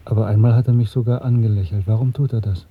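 A pensive middle-aged man in a radio play, earnest and brooding. His voice is husky, on a thin, phone-like recording. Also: fairly low pitch, steady tone, fast. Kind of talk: German radio play acting. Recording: muffled, narrow-band old radio recording.

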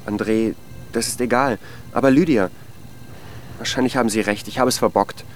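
A man's voice: medium pitch, normal speed, faint music behind, lightly acted. An actor playing a needy, insecure, lovelorn man who dithers and pleads in a naturalistic radio drama. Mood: anxious, dithering.

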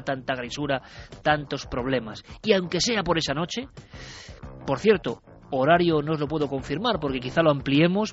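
A man hosting a radio show, delivering a dramatic, rousing monologue to his listeners. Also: fairly high pitch. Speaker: radio host. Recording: muffled radio broadcast with faint music.

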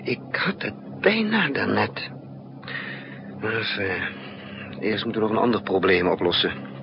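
A man's voice: lowish voice, very animated, unhurried, creaky, exaggerated voice. An actor playing a resourceful male spy in a 1960s Dutch radio drama, thinking aloud in hesitant, broken phrases. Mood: urgent planning. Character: resourceful male spy narrating his own risky mission. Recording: muffled, noisy 1960s radio recording.

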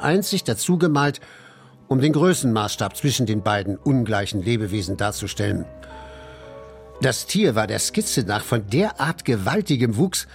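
An actor playing an expedition member who narrates an adventure in a scripted radio drama. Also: male voice, mid-range voice, a little mellow, close to an everyday voice. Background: faint music.

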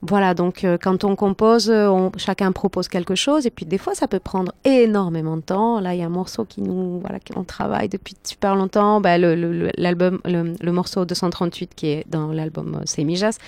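Low-voiced woman, halting interviewee. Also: fairly even intonation, gravelly, a little mellow, no room sound. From Argentine family, lived in Argentina. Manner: halting, repeats words as searching. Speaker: band singer and lyricist. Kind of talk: conversational radio interview.

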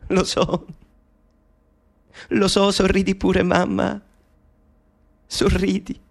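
A young eccentric mathematician in a radio drama, with a high-pitched male voice. He speaks intimately and playfully, with a nervous, eccentric edge, as if confiding in his mother. It is a studio recording. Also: monotone, very slow, creaky, heavily acted. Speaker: actor playing Alan Turing. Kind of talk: theatrical radio monologue.

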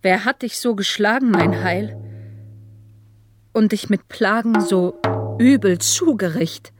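An actress playing a sorrowing, tender believer, reciting devotional verse in a radio drama. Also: female voice, medium pitch, slow, music in the background, close to an everyday voice. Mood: sorrowful, tender.

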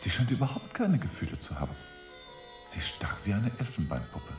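A man's voice: lowish voice, big pitch swings, brisk, echoey room, music in the background. An actor playing a restless, suspicious young English vice-consul in his twenties in a German radio drama, questioning and opinionated. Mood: puzzled, disapproving. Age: 20s.

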